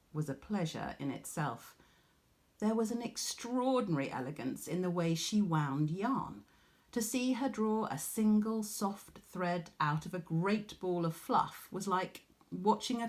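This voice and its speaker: A woman reading a storybook aloud like a teacher, expressive, with dramatic pauses. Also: fairly low pitch.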